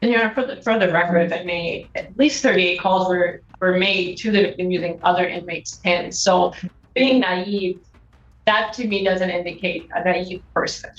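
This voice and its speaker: A woman arguing in court as a prosecutor, speaking in a halting way. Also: on the low side, fairly even intonation. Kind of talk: courtroom argument. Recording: echoey, muffled courtroom audio.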